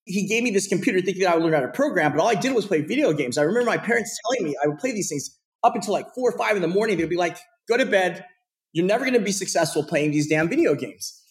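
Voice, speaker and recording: A man from Portland, Oregon, in his thirties, talking fast with a slightly raised pitch. He tells his own career story loosely, stacking fillers and restarts as he goes. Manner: rapid, animated storytelling with frequent fillers. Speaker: podcast guest. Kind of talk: casual interview storytelling.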